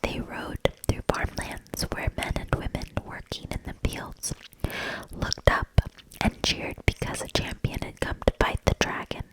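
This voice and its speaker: A woman's voice: measured pace, low-quality recording. Whispering woman reading a storybook, ASMR style. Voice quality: breathy unvoiced whisper. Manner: soft-spoken, gentle, calming. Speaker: ASMR reader.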